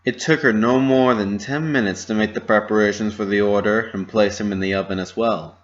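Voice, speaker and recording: A man's voice: on the low side, fairly even intonation, brisk, muffled recording. A man narrating a story as a scripted dramatic reading.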